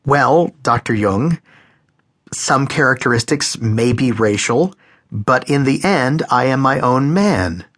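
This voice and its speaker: A man's voice: medium pitch, lively intonation, takes it slowly, fairly bright tone. A man voicing a timid younger man who speaks tentatively and anxiously. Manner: tentative, hesitant.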